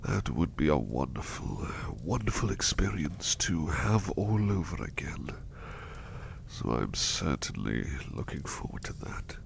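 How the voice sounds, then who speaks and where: Casting: male actor as devoted, bashful butler for ASMR roleplay; low, expressive voice, hushed and halting, eager to please. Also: gravelly, slight background noise, heavily acted. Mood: fond, grateful. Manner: halting, repeats phrases, effusively polite. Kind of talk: soft-spoken ASMR roleplay.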